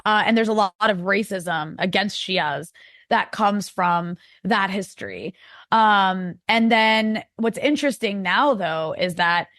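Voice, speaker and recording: An American woman talks as a guest on a casual interview show. She rambles and restarts, filling her talk with "like", at a middling pitch. The sound is dry and close. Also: slow pace, fairly bright tone, no echo. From United States. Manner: rambling, many likes and restarts.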